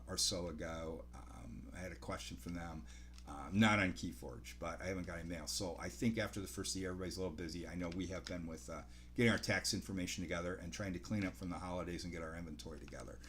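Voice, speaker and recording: A man in his sixties giving casual product news to camera as a game store host, in a halting, rambling way, often correcting himself. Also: steady tone, fairly bright tone. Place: small home office by a window, indoors. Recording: clearly noisy home recording, low hum.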